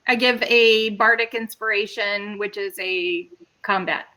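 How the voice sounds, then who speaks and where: A woman's voice: mid-range voice, steady tone, a little slow, pushed and bright, rough recording. A woman chatting casually as a player in an online tabletop game.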